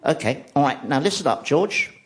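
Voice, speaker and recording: A British man voicing a breezy, bossy male hospital doctor in a stand-up story, brisk and chummy. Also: a little high, animated, voice pushed up, close to an everyday voice. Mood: instructing.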